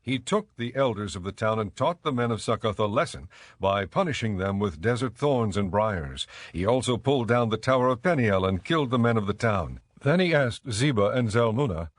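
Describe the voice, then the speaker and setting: A man narrating scripture as a scripted audiobook in a measured, solemn manner. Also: lowish voice, expressive, dry recording.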